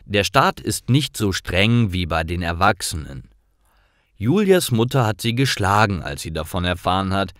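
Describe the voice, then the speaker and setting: A man reading simple scripted stories aloud in a clear, deliberate manner, as a storyteller for language learners. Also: deep voice, very animated, fairly bright tone, no room sound, studio quality.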